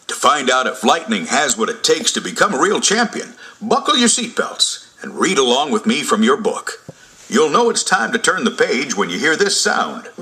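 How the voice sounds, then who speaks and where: A man's voice: mid-range voice, wildly swooping pitch, normal speed. A man narrating a scripted read-along storybook for children. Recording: loud music behind the voice.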